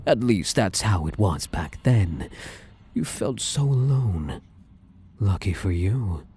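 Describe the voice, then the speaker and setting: A man voicing a possessive, domineering male shadow spirit in an ASMR roleplay, speaking slowly and menacingly and often slipping into whispers. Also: fairly low pitch, a little mellow, slightly rough, exaggerated voice. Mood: taunting. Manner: slow, menacing, drifts into whispers.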